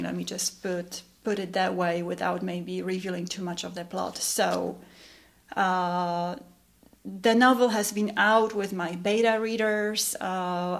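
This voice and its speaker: A middle-aged woman with a Polish accent, talking informally and haltingly about her own work as a composer. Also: medium pitch, gravelly. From Poland. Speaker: composer giving updates. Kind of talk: informal monologue to camera.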